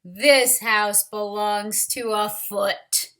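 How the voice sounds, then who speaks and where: A woman voicing a fussy, bossy female bird in a storybook, with emphatic, drawn-out complaints. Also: medium pitch, fairly even intonation, sharp tone.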